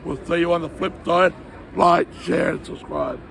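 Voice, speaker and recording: A hoarse man with a worn-out voice, vlogging excitedly from a football stadium and shouting along with the game. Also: sits high, moderate pace. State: hoarse, voice shot. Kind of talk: casual vlog chat at a match. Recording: loud stadium crowd and noise behind.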